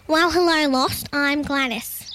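A woman's voice: sits high, fairly even intonation, slow pace, noisy background, voice pushed up. A woman voicing a kindly, decisive female emu in a children's storybook, with a folksy, blustering, scolding manner. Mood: friendly, decisive.

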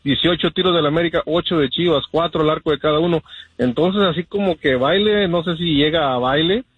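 Husky-voiced man on the phone. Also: fairly high pitch, a little fast, no echo. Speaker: first-time caller to sports radio show. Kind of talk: casual sports opinion. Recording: dull phone call audio.

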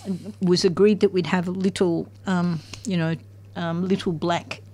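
Guest: retired woman, slightly low voice, warm enthusiastic chat. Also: fairly even intonation, normal speed. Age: older. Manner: chatty and enthusiastic, many ums. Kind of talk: casual conversation.

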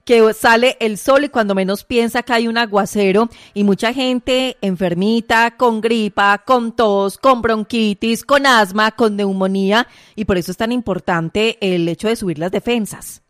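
A Colombian woman hosting a radio segment and delivering a sales pitch for health products. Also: measured pace, hardly any pauses, no echo, clean studio sound.

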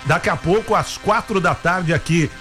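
A man's voice: high voice, moderate pace, a bit bright, soft background music. A man with a Brazilian accent, a co-host joking and teasing through live radio banter. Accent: Brazilian Portuguese. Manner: jokey, teasing.

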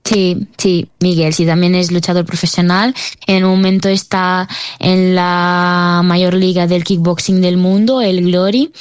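A woman's voice: on the low side, steady tone, unhurried, smooth, no room sound. A woman in her twenties with a Portuguese accent, a professional fighter answering interview questions with hesitant, repeated restarts. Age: 20s.

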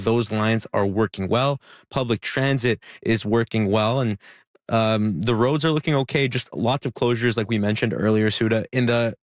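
A man's voice: medium pitch, dry recording. A man, the traffic reporter on a radio show, talking casually in the studio. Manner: casual and conversational.

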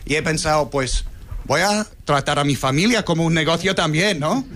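A man's voice: on the high side, normal speed, fairly bright tone. A male stand-up comedian, not a native Spanish speaker, delivering a routine and acting out dialogue.

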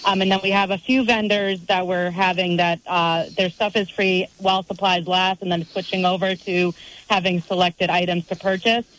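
A woman's voice: fairly low pitch, little pitch movement, normal speed, fairly bright tone, highs cut off. A woman event organizer in an interview, upbeat and eagerly listing what is on offer. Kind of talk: casual interview chat.

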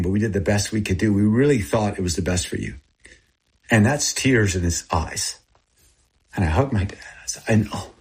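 Man, 60s, raised in Thailand, native Thai speaker. Earnest, confessional interview storytelling, husky and on the low side. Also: a little mellow. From born in Texas, grew up in Thailand. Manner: earnest, confessional, short plain phrases. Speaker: interviewee, missionary aid group founder.

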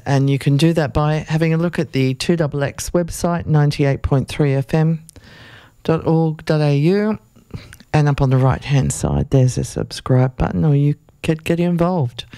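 A community radio host, hoarse and losing their voice, giving station announcements and reading a media release aloud in an unhurried, halting way. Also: mid-range voice, mellow, no echo, clean studio sound. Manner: unhurried, halting delivery with fillers. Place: radio studio.

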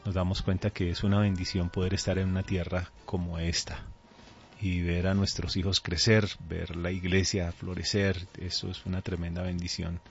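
A middle-aged man with a Colombian accent, speaking as a pastor in a radio interview in a deliberate, earnest way. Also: deep voice, little pitch movement, gravelly. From Colombia, raised there from age two. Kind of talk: radio interview, conversational testimony. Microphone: studio microphone. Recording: dull, band-limited radio audio.

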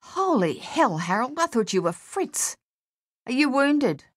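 A woman voicing a young male soldier in an audiobook, gruff and imploring as he tries to steady his comrade. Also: on the high side, fairly quick, pitched above the performer's own voice, natural voice. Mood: urgent. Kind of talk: audiobook character voice.

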